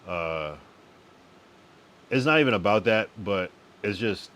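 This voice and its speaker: Man, young adult, deep voice, from the East Coast, casual stream chat, profane, repeats himself. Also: expressive, slow pace, a bit bright. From Connecticut and Massachusetts, US East Coast. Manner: laid-back, profane, repeats phrases. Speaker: live streamer. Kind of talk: loose late-night stream chat.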